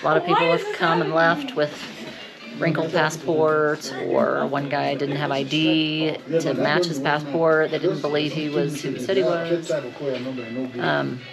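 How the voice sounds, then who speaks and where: A woman vlogger speaking candidly to camera, upset and anxious, with hesitant pauses. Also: low voice, fairly even intonation, gravelly, loud music behind the voice. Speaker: travel vlogger. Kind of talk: candid vlog talk to camera.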